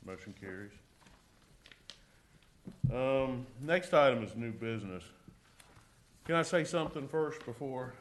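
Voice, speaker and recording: A man with a deep voice chairing a local committee meeting, speaking in a plain, folksy way. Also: animated, measured pace, pauses often. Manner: plain-spoken, folksy, reminiscing. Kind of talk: public meeting, casual chat.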